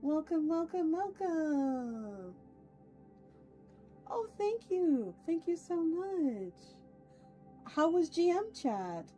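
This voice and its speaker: A woman's voice: extremely high pitch, big pitch swings, extremely slow, long pauses, mellow, soft background music. A woman hosting a casual livestream, chatting with viewers in an effusive, playful way with theatrical turns of phrase. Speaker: VTuber livestream host.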